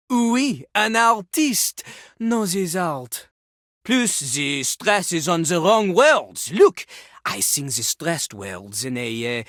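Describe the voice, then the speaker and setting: A woman's voice: on the low side, no room sound, clean studio sound. A woman voicing a cocky male talking toilet who fancies himself a rapper, with a French accent and a theatrical, pompous manner in a video game. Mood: pedantic, proud. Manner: pompous, theatrical, refers to himself in third person. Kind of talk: animated video game character acting.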